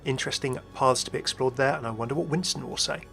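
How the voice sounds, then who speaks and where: A man presents video game commentary in a medium-pitched voice with noticeable creak. He muses aloud and addresses viewers in conversational asides. Light music plays underneath. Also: fast talker, fairly bright tone. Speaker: host of a video game lore channel. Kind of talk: scripted-sounding video commentary.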